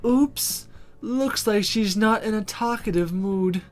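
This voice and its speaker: Woman voicing a sultry, slow-drawling flirty demoness. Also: medium pitch, a little mellow, faint music behind, pitched above the performer's own voice, lightly acted. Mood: teasing. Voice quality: breathy. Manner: drawn-out, suggestive purring delivery.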